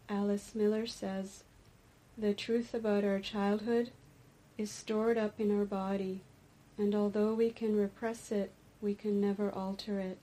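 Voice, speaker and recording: A woman teaching a guided meditation, speaking deliberately in short phrases with pauses between them. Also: medium pitch, dark tone, low-quality recording. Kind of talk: guided meditation and dharma talk.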